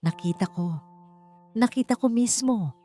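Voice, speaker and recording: A woman's voice: on the high side, unhurried, warm tone, faint music behind, voice pushed up, close to an everyday voice. A Filipino woman voicing a scheming, boastful small-town man who tells gossip dramatically in a story. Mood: gossiping, dramatic.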